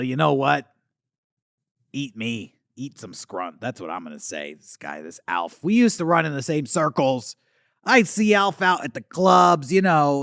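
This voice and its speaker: A man voicing a washed-up male alien puppet star from the eighties, rambling in a crude, boozy, confessional way with a gravelly voice. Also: fairly high pitch, a bit bright, no echo, clean studio sound, full character voice. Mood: bitter. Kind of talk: comedic character monologue.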